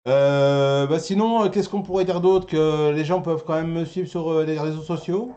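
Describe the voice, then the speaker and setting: A man's voice: on the high side, fairly even intonation, moderate pace, dull audio, a crowd in the background. A man hosting a casual anime news web show, with jokey, teasing banter. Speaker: host.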